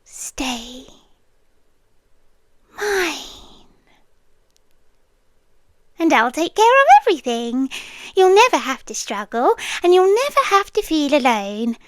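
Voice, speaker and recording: A possessive British yandere landlady acted by a woman in an intimate ASMR roleplay. Her high, soft, breathy voice moves very slowly and often slips toward a whisper. She coaxes with quiet menace, very close and dry on the mic. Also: big pitch swings, no echo, full character voice. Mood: coaxing, possessive. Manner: slow, intimate, coaxing, possessive. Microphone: close, dry microphone.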